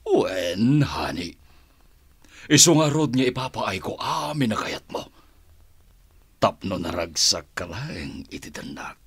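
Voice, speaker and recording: A man with an Ilocano accent acting in a radio drama as a doting, indulgent husband, with a husky voice. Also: lowish voice, sing-song, takes it slowly, long pauses, a bit bright, exaggerated voice. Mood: doting, soft.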